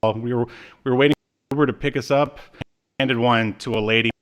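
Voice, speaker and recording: A man's voice: mid-range voice, fairly even intonation, fast talker. A man in his thirties hosting a podcast in a casual, joking, self-deprecating way. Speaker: podcast host. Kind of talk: casual chat.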